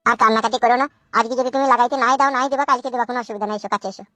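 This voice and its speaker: A man's voice: very high voice, fairly even intonation, very fast, bright, forceful tone, slight background noise. A man voicing a coaxing, coarse new husband in a comic cartoon, theatrical and colloquial. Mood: pleading, exasperated.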